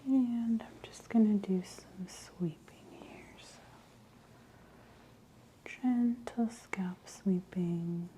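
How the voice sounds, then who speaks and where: Slow, whispering soft-spoken ASMR woman. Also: medium pitch, a little hiss or hum, poor audio. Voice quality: breathy, whispery. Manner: gentle, soothing, unhurried. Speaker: ASMR host performing a scalp exam roleplay.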